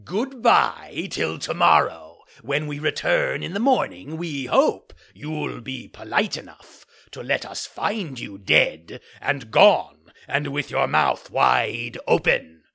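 Man playing assassins, growling, loud, drawn-out threats, mocking, forceful tone. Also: mid-range voice, wildly swooping pitch, gravelly, husky, heavily acted. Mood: menacing. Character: two menacing assassins, cruel and mocking. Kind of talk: audiobook character voice.

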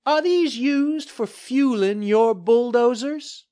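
A man's voice: very high voice, slow, mellow, voice pushed up. A man voicing a cheerful, sly female TV reporter in an audiobook story, with a pointed manner. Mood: cheerful calling.

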